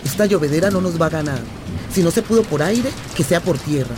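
A woman's voice: lowish voice, expressive, brisk, noisy background, natural voice. An actress playing a romantic, adventurous woman in love, with a Colombian accent, in a radio drama. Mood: tender, yearning. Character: romantic, adventurous woman devoted to distant lover.

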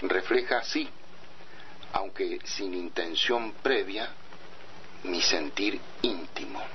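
An actor playing a solemn author reading out a creed as a radio drama prologue. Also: male voice, sits low. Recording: dull broadcast with faint noise.

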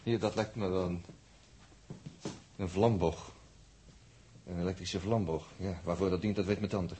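Young spaceman, deep voice, hesitant, urgent, repetitive, faint hiss, old radio serial. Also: steady tone, a little slow, warm tone. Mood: agitated. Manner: hesitant, repeating himself, urgent. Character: stressed young spaceman, reluctant amateur surgeon. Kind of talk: 1960s radio drama acting. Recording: faintly noisy 1960s radio recording.

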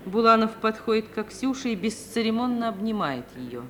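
A woman narrator reading stage directions for a radio play in a measured, literary manner. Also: a little high, big pitch swings, a little hiss or hum.

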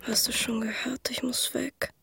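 A woman playing a frightened, homesick girl in a camp sick barrack, lamenting in a hushed whisper in a radio play. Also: a little high, level tone, unhurried, vocal fry, husky, full character voice. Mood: frightened, sorrowful. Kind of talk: German radio play acting.

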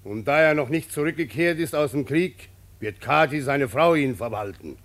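An actor playing an old, plain-spoken peasant father in a radio play, with weighty, halting, declamatory phrasing. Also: medium pitch, lively intonation, lightly acted. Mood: solemn, decreeing. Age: older.

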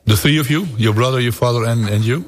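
A man hosting a casual radio interview, prompting with relaxed questions and fillers. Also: medium pitch, quick pace.

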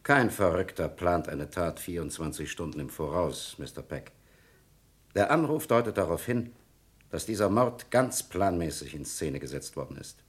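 A man acting a calm, probing police captain in a German crime radio play, speaking in a formal, measured way. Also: low voice, husky. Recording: noisy vintage radio play recording.

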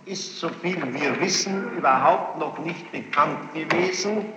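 Noisy old courtroom tape, reverberant hall, higher-pitched man, judge, formal, insistent, halting questioning. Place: large echoing courtroom.

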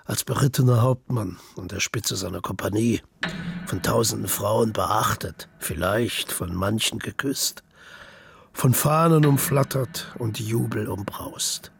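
A man with a creaky, gravelly voice, narrating a literary radio play. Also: on the low side, a little hiss or hum.

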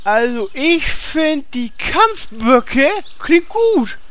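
A woman's voice: high voice, big pitch swings, extremely slow, noisy background, heavily acted. A woman playing a dim, eager and hesitant game warrior in a comic audio drama. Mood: naive.

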